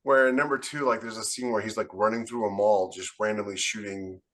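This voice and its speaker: Low-voiced man chatting animatedly. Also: fairly even intonation, a little fast, fairly bright tone. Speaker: podcast co-host, military science fiction author. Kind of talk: casual panel chat.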